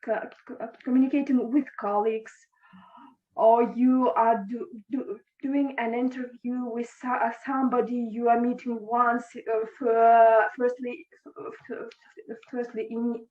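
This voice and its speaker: A young woman whose first language is Russian, speaking English as an interview guest, halting and restarting her words. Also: fairly high pitch, little pitch movement. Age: young adult. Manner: halting, with frequent restarts and stammers. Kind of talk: video call interview. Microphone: video call. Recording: echoey, dull lo-fi video call.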